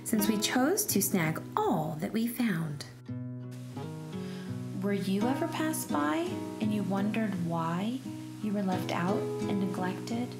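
A woman narrating a rhyming children's picture book in an expressive, measured storytelling way. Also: medium pitch. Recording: roomy echo, music behind. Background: plucked guitar music.

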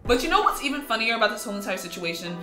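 A young woman giving casual, slangy reaction commentary in an expressive, incredulous manner. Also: a little high, very fast, reverberant, faint music behind. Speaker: YouTube commentary host.

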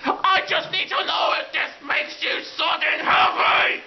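A woman playing an excitable, indignant comic sidekick, melodramatic, exasperated and prone to shouting. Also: extremely high pitch, measured pace, over music, a crowd in the background. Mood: furious. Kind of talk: scripted comedic reaction. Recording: dull muffled microphone, clear background noise.

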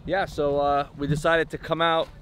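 A man's voice: on the high side, level tone, moderate pace. A man in his thirties chatting casually as a family vlogger, to the camera and to a pet. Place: mostly outdoors at a marina and patio, later garage and home. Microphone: camera held at arm's length. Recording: clear background noise, handheld camera outdoors.

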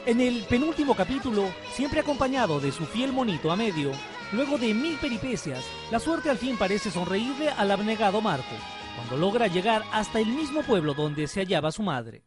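A man with a high voice narrates a scripted TV feature. His delivery is lively and varied, over clearly audible music. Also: moderate pace, hardly any pauses.

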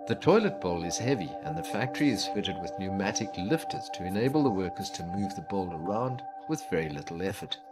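A man giving scripted documentary narration about a factory in a measured, explanatory delivery. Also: deep voice, lively intonation. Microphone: studio voice-over. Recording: voice-over with clear background music.

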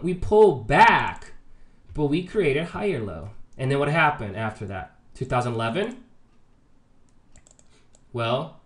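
A man giving informal, emphatic market chart commentary with rhetorical check-in questions, his voice slightly congested from a sore throat and sinus trouble. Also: high voice. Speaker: market analyst and host of a trading update. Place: voice-over on a screen recording.

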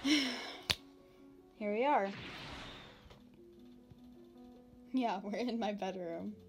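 A woman playing a playful, anxious young demon princess in an ASMR roleplay, talking casually and haltingly with a giggly manner. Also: medium pitch, lightly acted. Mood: coaxing, playful. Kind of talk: ASMR roleplay, unscripted-sounding casual chat.

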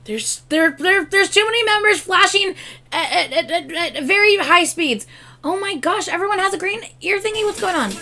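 Hoarse, excitable woman with a gravelly voice. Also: extremely high pitch, moderate pace. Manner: excitable, chatty, gushing with laughs and exclamations. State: losing her voice, hoarse. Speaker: K-pop reaction YouTuber. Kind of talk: casual reaction vlog. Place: home room with shelves of albums and collectibles, indoors. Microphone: webcam framing at desk.

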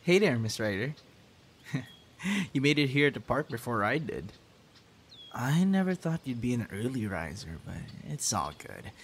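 A man's voice: mid-range voice, big pitch swings, moderate pace, a little hiss or hum. Man acting a friendly, coaxing young guy. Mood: playful, warm. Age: young adult. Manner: earnest, coaxing, sighs and breathy reactions. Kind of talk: ASMR audio roleplay, one-sided dialogue to listener.

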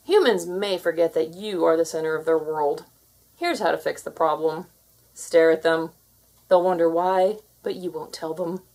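A woman's voice: deep voice, normal speed, darkish tone. A woman voicing a confident, bossy alpha cat who lectures kittens, in a theatrical storybook read-aloud style. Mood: sly, instructive.

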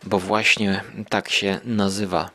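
A man hosting a podcast, giving an informal review in a halting way, rephrasing as he goes. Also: low-pitched, level tone, sharp tone.

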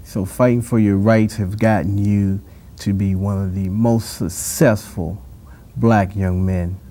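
A man hosting a TV interview show in a studio, asking questions in a measured, drawn-out way. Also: fairly low pitch, fairly even intonation, mellow, slight background noise. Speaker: TV talk show host. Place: TV studio set.

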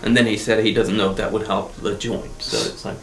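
A man's voice: fairly low pitch, flat delivery, a little fast. Earnest middle-aged man from Egypt. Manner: earnest, brief answers with hesitations. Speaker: patient. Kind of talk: interview answers. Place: dental clinic treatment room. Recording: echoey clinic room, faint hiss.

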